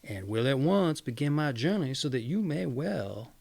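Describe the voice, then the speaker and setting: Man playing an excitable, gullible peasant husband. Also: mid-range voice, moderate pace, gravelly. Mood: excited, eager. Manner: excited, earnest, long-winded.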